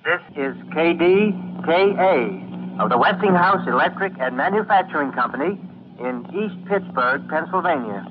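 A man with an American accent and a husky voice announcing formally in 1920s radio style. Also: a little high, lively intonation, noisy background. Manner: formal, measured. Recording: muffled old-time radio recording.

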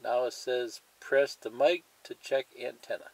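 A man's voice: mid-range voice, fairly even intonation, unhurried, no echo, low-quality recording. A man presenting a hands-on tutorial in a plain, methodical way, explaining each step and repeating it.

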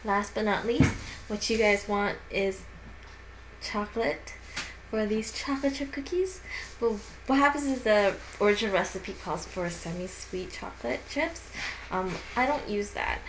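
A woman's voice: mid-range voice, unhurried, slight background noise, low-quality recording. A young woman in her twenties casually talking through a baking recipe in her home kitchen, with lots of fillers and restarts. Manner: chatty, with frequent fillers and restarts.

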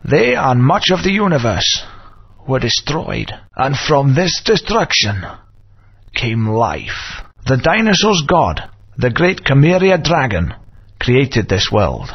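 An actor playing an old male wizard mentor, grave and weary, with a solemn, slow storytelling delivery and a husky voice. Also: medium pitch, big pitch swings, full character voice. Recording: muffled lo-fi game audio.

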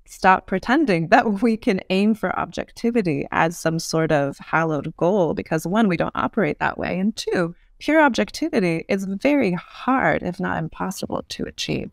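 A woman's voice: on the low side, vocal fry, dry recording, studio quality. A woman giving thoughtful, articulate explanations as a guest in an interview conversation. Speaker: podcast guest, urban design expert.

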